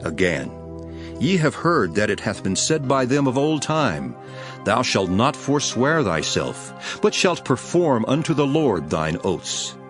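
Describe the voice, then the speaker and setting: An actor playing a solemn, authoritative male preacher in a dramatized King James Bible reading, declaiming in a measured, emphatic way. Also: medium pitch, big pitch swings, music in the background.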